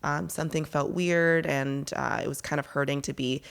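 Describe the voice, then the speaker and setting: A woman in her thirties with a low, slightly hoarse voice gives an easygoing interview. She has noticeable vocal fry and a raspy texture. She speaks candidly and relaxed, often dropping "um" and "like". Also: moderate pace. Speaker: guest, principal ballerina. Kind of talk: casual interview chat.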